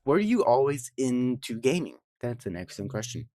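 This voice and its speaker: A man's voice: mid-range voice, big pitch swings, moderate pace, dark tone, no echo, clean studio sound. A man from Georgia, co-hosting a podcast and asking questions in casual chat. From Georgia, United States.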